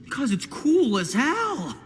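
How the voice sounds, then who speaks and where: An actor playing a flamboyant, cheeky schemer in a video game, theatrical, teasing and smug. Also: male voice, very high-pitched, wildly swooping pitch, full character voice. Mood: excited. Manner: theatrical, teasing, drawn-out exclamations. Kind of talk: expressive video game acting. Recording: long hall reverb, faint background noise.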